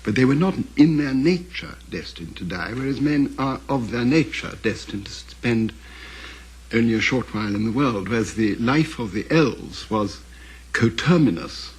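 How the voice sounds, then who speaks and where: Older guy, really husky raspy voice, talks slow n careful, scholarly, stops and restarts while reflecting. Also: lowish voice, low-quality recording. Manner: halting, deliberate, scholarly, with repeated self-corrections. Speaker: interviewee, author's son recalling his father. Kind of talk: reflective interview commentary.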